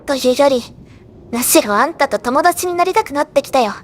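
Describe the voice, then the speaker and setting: Woman acting a high-pitched, teasing female death god. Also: lively intonation, brisk, a little hiss or hum. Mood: confident, friendly. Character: playful, possessive female death god, battle-hungry friend. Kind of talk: anime voice drama dialogue.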